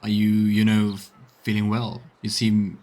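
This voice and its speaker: A man's voice: lowish voice, monotone, a little slow, a little mellow. A man streaming a let's play, musing over the game and voicing his role-play on a close mic.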